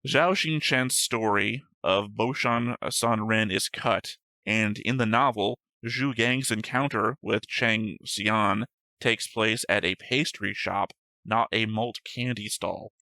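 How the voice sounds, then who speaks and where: A man with a bright, forward voice chats casually while reading through notes, stopping often to correct himself and swearing now and then. He is recorded dry and close on the mic, with no room sound. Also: lowish voice, slow pace, no room sound. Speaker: reaction show host reading notes.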